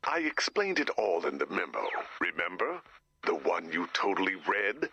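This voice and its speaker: An actor playing a grave, anxious director cursed to become a werewolf, in an earnest, dramatic video game style with a gravelly voice. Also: male voice, sits low, sing-song, normal speed, bright, forceful tone, no room sound, highs cut off, heavily acted. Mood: earnest, exasperated. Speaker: director of a base. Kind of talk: animated video game voice acting.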